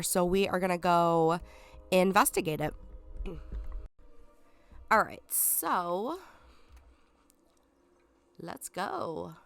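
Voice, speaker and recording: A woman's voice: lowish voice, fairly bright tone, smooth. A woman with a stuffed-up voice from a cold, chatting helpfully as she talks viewers through a video game walkthrough step by step. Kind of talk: casual walkthrough narration.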